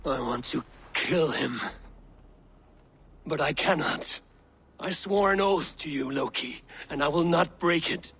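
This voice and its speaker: A man playing a proud, determined Norse god in a video game cutscene, speaking in measured, formal phrases. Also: fairly high pitch, fairly bright tone, husky, heavily acted. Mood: grim resolve. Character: proud male Norse god, high lord seeking power, grimly determined.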